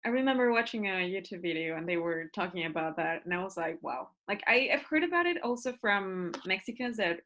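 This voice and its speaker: A woman's voice: on the low side, lively intonation, a little fast, few pauses, fairly bright tone, slight background noise. A woman hosting a casual chat, giving short reactions and laughing easily. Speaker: host.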